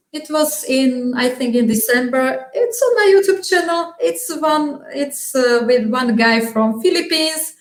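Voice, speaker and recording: Slow-talking woman with a Slovak accent. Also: on the high side, reverberant, poor audio. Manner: halting, searching for words, frequent restarts and laughs. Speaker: interview guest. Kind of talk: casual interview chat.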